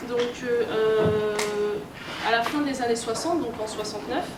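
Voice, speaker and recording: A woman's voice: a little high, moderate pace. A woman giving an informal conference talk in a conversational, hesitant way, with many fillers. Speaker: lecturer, games researcher and editor. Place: indoor convention talk room. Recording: roomy, noisy convention room, distant mic.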